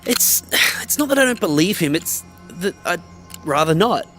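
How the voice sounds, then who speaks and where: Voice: mid-range voice, big pitch swings, moderate pace, a bit bright, faint music behind. An actor playing a guarded, sarcastic young survivor in a dystopian America, talking casually in a naturalistic audio drama. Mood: explaining, resigned.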